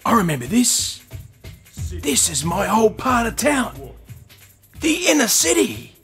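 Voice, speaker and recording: An Australian man acting in a radio play as a rough, volatile inner-city man just out of custody, talking in terse slang. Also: high voice, wildly swooping pitch, slow pace, a bit bright, heavily acted. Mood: wistful. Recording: music under the voice.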